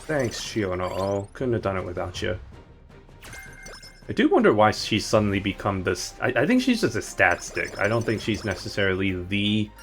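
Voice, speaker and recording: A man's voice: fairly low pitch, sing-song, normal speed, faint music behind. A man streaming a game, chatting casually in a rambling, jokey way and correcting himself as he goes.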